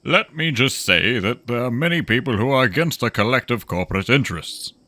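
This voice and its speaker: Pompous, forceful corporate executive played by a man. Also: mid-range voice, expressive, a little fast, no echo. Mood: smug, condescending. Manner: haughty, condescending, formal wording. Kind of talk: sci-fi audio drama acting.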